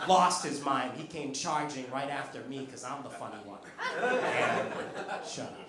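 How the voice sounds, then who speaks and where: A man's voice: mid-range voice, unhurried, very noisy. An American man in his thirties doing a chatty stand-up set, teasing the audience on a comedy club stage. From United States. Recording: echoey club room with audience laughter.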